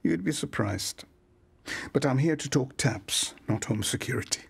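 A male landlord and painter in a radio soap. He speaks formally and courteously at a middling pitch, keeping something back behind the politeness. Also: expressive, measured pace, creaky, breathy edge, exaggerated voice. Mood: polite. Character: courteous, guarded landlord and painter, faintly secretive.

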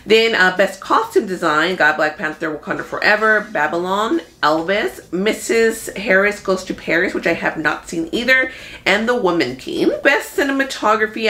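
A woman in her thirties talking casually as a movie vlogger, thinking out loud in an informal, conversational way. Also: on the low side. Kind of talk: casual chatty commentary. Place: small room at home. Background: background music.

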